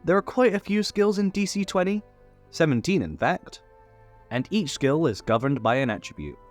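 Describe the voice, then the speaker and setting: Male tutorial host: scripted, instructional read with playful asides, animated intonation, light music bed. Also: on the high side, moderate pace. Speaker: host of a tabletop game tutorial. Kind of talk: scripted explainer narration.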